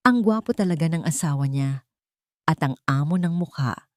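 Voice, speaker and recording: Female storyteller for dramatic romance fiction: slightly low voice, scripted narration, very dry close sound. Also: animated, moderate pace, dry recording.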